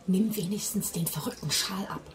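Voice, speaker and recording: A woman's voice: mid-range voice, normal speed, lightly acted. An actress playing a proud, jealous young woman in a radio drama, speaking curtly and demandingly. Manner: curt, demanding.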